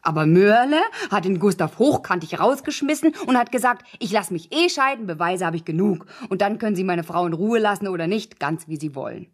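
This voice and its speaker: An actress in a German radio play voicing a chatty woman who retells a story in a colloquial way, with a slightly husky voice. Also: on the low side. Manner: colloquial storytelling, quoting characters directly. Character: chatty woman spinning out a novel's plot.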